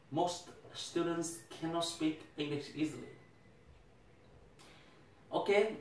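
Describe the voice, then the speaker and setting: Young Vietnamese-accented male teacher, fairly high voice, earnest speech delivery, roomy echo from a distant mic. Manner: earnest, deliberate, occasional restarts. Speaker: English teacher. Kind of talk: prepared speech. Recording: echoey room, mic at a distance.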